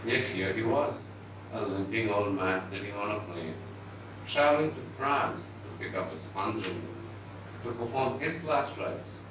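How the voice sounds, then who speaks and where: A man giving a measured, expressive dramatised reading of literary fiction. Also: on the low side. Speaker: reader at a book event. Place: indoor event venue before an audience. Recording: very echoey distant hall, noisy.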